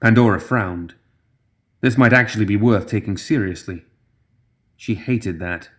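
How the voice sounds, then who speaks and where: Man narrating dark fantasy fiction. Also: on the low side, unhurried. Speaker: audiobook narrator.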